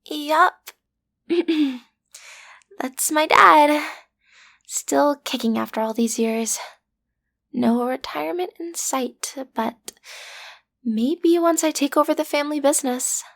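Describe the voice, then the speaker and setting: A woman's voice: high voice, takes it slowly, creaky, pauses often, a bit bright, exaggerated voice. A woman playing a cute teenage girl who is training to be a supervillain, sassy and theatrical but a little awkward, in a roleplay audio drama. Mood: proud, explaining. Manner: theatrical, sassy, hesitant when breaking character.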